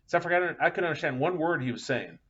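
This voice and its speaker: Middle-aged man, math instructor, relaxed rambling lecture, muffled lo-fi mic. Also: fairly high pitch, very fast, a little hiss or hum. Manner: casual, chatty, thinking aloud with fillers. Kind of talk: live class lecture with anecdotes. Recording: dull lo-fi classroom capture.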